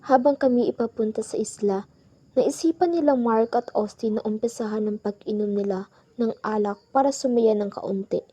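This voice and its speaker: Woman acting an anxious, soft-toned young narrator. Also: on the high side, normal speed, no room sound, lightly acted. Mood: anxious, foreboding. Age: young adult. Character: anxious, cautious young woman narrating her island trip. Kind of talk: scripted first-person radio drama narration. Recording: dull, dry lo-fi student recording.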